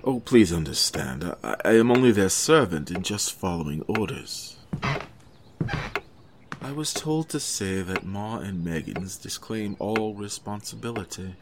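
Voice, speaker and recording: A man's voice: on the low side, lively intonation, unhurried, noisy background. A man playing a nervous, apologetic company messenger in an audio drama, with a stammering, formal delivery. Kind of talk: audio drama horror acting.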